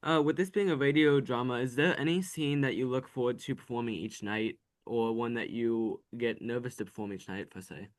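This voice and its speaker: Male podcast host, mid-pitched. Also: brisk, few pauses. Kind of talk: casual interview questioning.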